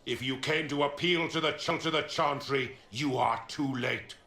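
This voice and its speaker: A man's voice: fairly high pitch, normal speed, pushed and bright. A man playing an arrogant, scornful commander of zealous knights in a fantasy video game, declaiming with haughty contempt. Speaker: military commander of a knightly order. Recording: echoing open space.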